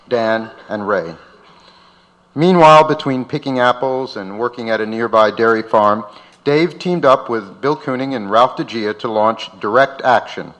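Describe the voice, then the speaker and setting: A man's voice: mid-range voice, fairly bright tone, low-quality recording. A man narrating from a script aloud as the host of a live event.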